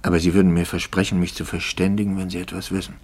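Troubled priest, low husky voice, intense and searching, brooding delivery, 1950s radio play. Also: male voice, level tone, very fast, a little mellow. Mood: subdued. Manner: brooding, intense, often dropping to near whisper.

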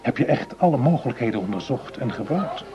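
An actor playing a cautious, calculating justice minister in a radio play, speaking in deliberate, formal phrases. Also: male voice, medium pitch, very animated, lo-fi sound, a crowd in the background, natural voice. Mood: grave, measured.

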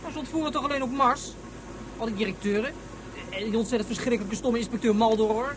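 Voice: quick pace, close to an everyday voice. An actor playing a boisterous, naive young boy who chatters in childish exaggerations in a science-fiction radio play. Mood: excited, indignant. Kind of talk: Dutch science-fiction radio drama acting. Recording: clearly noisy, rumbling ship ambience.

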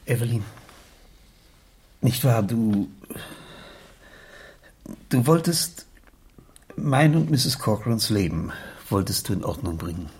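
An actor playing an agitated, protective uncle in a radio crime drama, emphatic, respectable and indignant. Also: male voice, fairly low pitch, slow pace, pauses often. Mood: gentle, grave. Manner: excitable, emphatic, swooping. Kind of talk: 1970s German radio crime drama acting.

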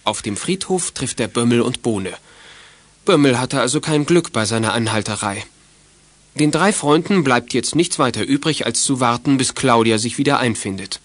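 A man's voice: medium pitch, lively intonation, normal speed, no echo. A man narrating a children's audio play in a scripted storytelling style.

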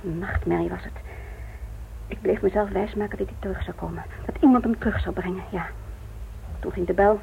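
A woman's voice: mid-range voice, fairly even intonation, a little fast, gravelly. A guarded, brittle mother, tinny sound. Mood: pained, weary. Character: guarded, brittle middle-aged mother, wanting peace. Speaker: actor in a radio play. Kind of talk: Dutch radio play acting. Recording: tinny narrow-band old radio recording, hiss.

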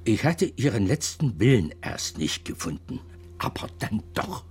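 An actor playing a guilt-laden, evasive older widower in a radio drama, clipped and muttering, with a breathy voice that often sinks near a whisper. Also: male voice, on the low side, big pitch swings, darkish tone, heavily acted. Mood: stubborn, evasive. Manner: halting, clipped, muttering colloquially. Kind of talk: German radio drama acting.

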